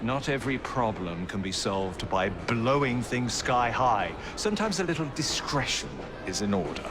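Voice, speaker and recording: An actor playing an elderly, dignified Victorian naturalist with a British accent, speaking formally and quick to take offence. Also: male voice, mid-range voice, big pitch swings, music in the background, natural voice. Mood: measured, cautioning. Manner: formal, genteel, articulate. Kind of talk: video game voice acting.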